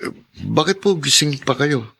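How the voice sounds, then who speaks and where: Modest, cautious young Filipino student. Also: male voice, a little high, lively intonation, moderate pace, vocal fry, a bit bright, breathy edge, full character voice. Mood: tender, earnest. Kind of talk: Filipino radio drama acting.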